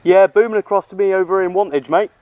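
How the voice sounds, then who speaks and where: A man's voice: high voice, fast, phone-quality sound. A man chatting casually outdoors, with informal, matey slang, like a radio hobbyist making a vlog. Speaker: amateur radio operator and vlogger. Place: outdoors on a hill. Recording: clear outdoor background noise.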